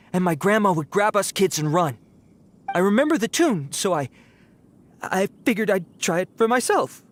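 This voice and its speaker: A man voicing a timid young bandit in a video game, flustered and rambling, full of fillers. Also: high-pitched. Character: timid young male bandit caught red-handed. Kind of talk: game voice acting.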